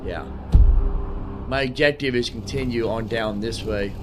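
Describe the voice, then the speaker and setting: A man's voice: medium pitch, a little slow. A man giving casual, chatty let's play commentary, thinking aloud as he goes. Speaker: gaming channel host. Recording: clear background noise.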